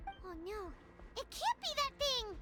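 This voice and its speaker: A woman playing a small, excitable, anxious childlike fairy companion in a video game, with a squeaky voice. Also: unhurried, heavily acted. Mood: worried, urgent. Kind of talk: animated video game acting. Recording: loud music underneath.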